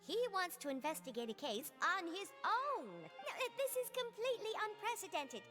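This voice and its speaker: A woman's voice: very high-pitched, sing-song, normal speed, sharp tone, soft background music, heavily acted. A woman voicing a fussy young female court assistant who protests fretfully. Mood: exasperated. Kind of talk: game voice acting.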